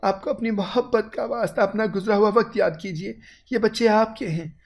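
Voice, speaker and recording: A man voicing a wronged, desperate young mother in an audio story, pleading and anguished, with a creaky voice. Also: sits high, moderate pace, muffled recording, pitched above the performer's own voice.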